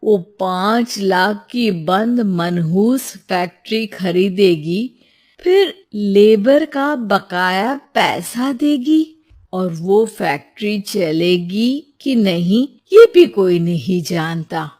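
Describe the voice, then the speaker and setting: A woman's voice: medium pitch, animated, extremely slow, darkish tone. A woman playing scoffing, doubtful naysayers in a moral tale, with a scolding, challenging manner. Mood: alarmed scolding.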